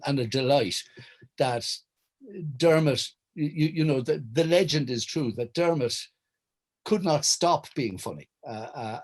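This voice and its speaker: An Irish man in middle age talks reflectively as a panel guest. His voice sits at a medium pitch, and he is articulate but halting, repeating small words and restarting before he lands on a full phrase. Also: darkish tone. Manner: hesitant, stammering restarts, wordy and articulate. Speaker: writer and director, panel guest. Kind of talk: reflective conversation recounting memories.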